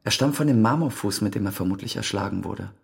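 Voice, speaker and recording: An actor playing a dogged, suspicious police detective in a radio play, matter-of-fact and probing, with a gravelly voice. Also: male voice, fairly low pitch, fast. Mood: probing, businesslike.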